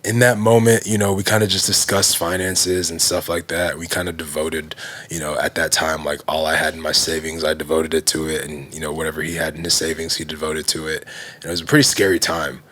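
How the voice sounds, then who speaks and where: Very deep-voiced man telling his story. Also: a little fast. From Nigerian heritage. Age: 30s. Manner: relaxed, heavy use of fillers like "you know" and "like". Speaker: guest, fitness brand founder. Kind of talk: casual conversational storytelling.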